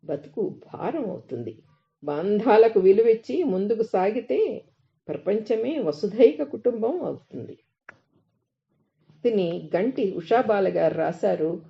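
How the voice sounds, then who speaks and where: A woman reads a reflective devotional essay as a narrator, in a measured way, phrase by phrase. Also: mid-range voice, expressive, vocal fry. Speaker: narrator reading articles aloud. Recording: dull, lo-fi recording.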